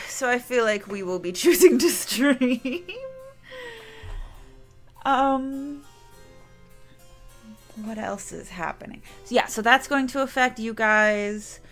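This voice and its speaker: A woman streamer talks to her viewers at an unhurried pace, her pitch sitting in an ordinary middle range. She rambles and restarts sentences as she goes. Faint music plays underneath. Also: a bit bright. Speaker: game streamer. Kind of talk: casual chat to stream viewers.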